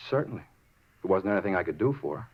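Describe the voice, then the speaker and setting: A muffled 1950s radio recording of a rambling murder suspect. His lowish voice moves quickly as he insistently justifies himself, circling small details and repeating his points like someone unhinged. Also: lively intonation, breathy edge. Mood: matter-of-fact. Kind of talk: 1950s radio drama acting.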